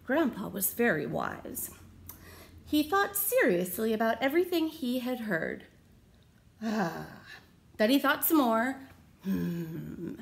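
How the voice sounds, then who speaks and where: A woman reads a children's story aloud in a fairly high voice that moves up and down a lot. She takes her time and leans on key words theatrically, checking in with her listeners through questions. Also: sing-song, gravelly. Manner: deliberate, theatrical emphasis, asks the listeners questions. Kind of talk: expressive picture-book read-aloud for children. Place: small room at home.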